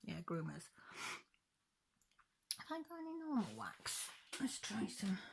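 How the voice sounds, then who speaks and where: A woman's voice: mid-range voice, sing-song, measured pace, creaky, noisy background. A woman chatting casually at home while crafting, rambling and correcting herself about the days, a little stuffy with a cold. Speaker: crafting vlogger.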